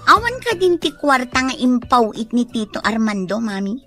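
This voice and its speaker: High, animated, spoiled daughter. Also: female voice, moderate pace, faint music behind. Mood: resentful, nagging. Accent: Ilocano. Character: demanding, resentful, spoiled daughter. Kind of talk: Ilocano radio drama acting.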